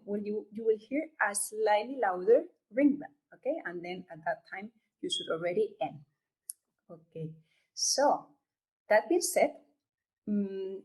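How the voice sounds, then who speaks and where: A woman from Spain with a Spanish accent, hosting an online event over a video call, talking haltingly with many fillers and asking "okay?" as she goes. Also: medium pitch, rough recording. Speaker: event host. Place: home or office room.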